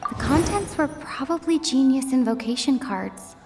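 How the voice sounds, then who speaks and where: A woman voicing a young traveler in a video game, deliberately reasoning through clues to herself in an inner monologue. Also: sits high, lively intonation. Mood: deducing. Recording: long reverb, music behind.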